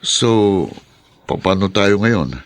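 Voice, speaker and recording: A Filipino actor playing a possessive, demanding boyfriend who is easily indignant, in a radio drama. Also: male voice, sits low, animated, drawn-out words, heavily acted. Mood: affectionate, insistent.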